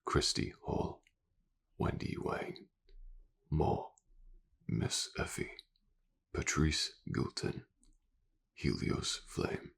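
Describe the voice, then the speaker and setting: A man reads a scripted outro as a voice actor thanking supporters. His delivery is soft and breathy, close to a whisper, low in pitch and slow. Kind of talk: scripted outro, reading out credits.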